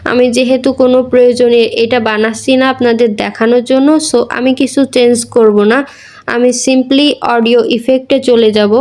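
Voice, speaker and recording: A woman's voice: a little high, darkish tone. A woman giving a casual, instructional how-to voice-over, mixing in English words. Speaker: tutorial creator.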